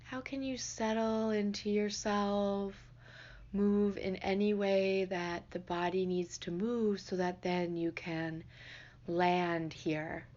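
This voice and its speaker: A woman leading a guided meditation in a gentle, unhurried, soothing manner. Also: mid-range voice, little pitch movement, dull audio. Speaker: meditation teacher.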